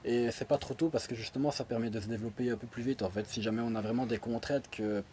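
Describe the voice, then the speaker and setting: A man in his twenties from Belgium with a Liège accent, answering interview questions hesitantly with many pauses and fillers. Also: medium pitch, steady tone, dark tone, slight background noise. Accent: Liège Belgian French. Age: 20s. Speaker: interview guest. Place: outdoors by a pool.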